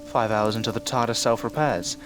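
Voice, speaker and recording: A man's voice: fairly low pitch, steady tone, brisk, over music, close to an everyday voice. A man playing a brooding, analytical time-travelling alien in an audio drama, explaining things in a formal, commanding way. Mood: measured, explaining.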